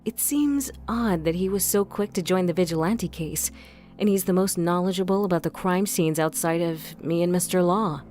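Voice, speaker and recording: A woman's voice: on the low side, moderate pace, a little mellow, soft background music, lightly acted. A woman playing a composed, inquisitive police inspector in an audio crime drama. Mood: probing.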